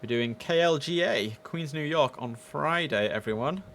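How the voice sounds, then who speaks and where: A man's voice: on the high side, animated, normal speed, fairly bright tone. British man streaming, with background noise. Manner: chatty, informal, addresses viewers directly. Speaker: livestream host and gamer. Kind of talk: casual game stream commentary. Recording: clear background noise and ambience.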